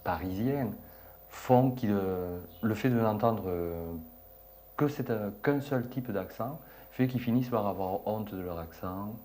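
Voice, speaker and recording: Hesitant male interviewee, unhurried delivery, faint ambience behind. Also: on the low side, dark tone, slight background noise. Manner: hesitant, many pauses and fillers. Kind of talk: reflective interview answer.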